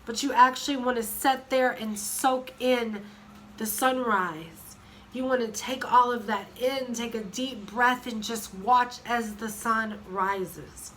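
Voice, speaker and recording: A woman in her thirties with a cold, hoarse and sniffly, chatting casually in a rambling way with many fillers and restarts. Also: a little high, steady tone, slow pace, a bit bright, slight background noise. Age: 30s. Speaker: vlogger. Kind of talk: casual chat.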